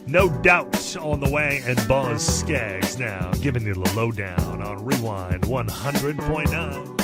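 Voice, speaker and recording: A man's voice: mid-range voice, expressive, slow. A man working as a music radio DJ, giving upbeat, conversational patter with emphatic, enthusiastic promotion between songs. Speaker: radio DJ, music station host. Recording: radio broadcast with music behind.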